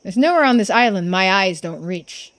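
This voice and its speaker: A woman's voice: mid-range voice, animated, normal speed, fairly bright tone, natural voice. A woman voicing a stern, domineering male businessman from a visual novel, with a scornful, commanding manner. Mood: cold, commanding.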